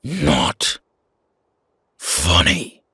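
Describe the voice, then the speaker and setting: A man playing a surly, hot-tempered werewolf in an audio drama, terse and grudging, with a raspy, gravelly growl. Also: sits low, wildly swooping pitch, drawn-out words, pushed and bright, dry recording, clean studio sound, full character voice. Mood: bitter disgust. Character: surly, hot-tempered male werewolf who hates vampires. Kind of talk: audio roleplay drama acting.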